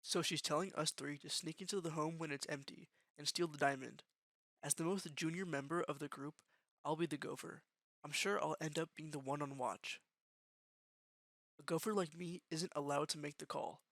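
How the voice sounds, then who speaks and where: A man playing a matter-of-fact young student gofer in a gang, narrating in the first person in a deliberate, slangy way for an anime-style fan dub. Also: on the high side, vocal fry, a bit bright, no echo, studio quality. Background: music with guitar.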